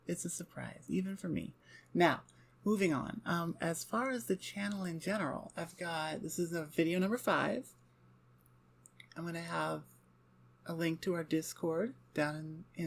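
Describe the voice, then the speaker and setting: A woman hosting her own audio channel, talking in a quick, chatty, self-deprecating way. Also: fairly low pitch, vocal fry. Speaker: ASMR audio creator and channel host. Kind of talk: casual chat.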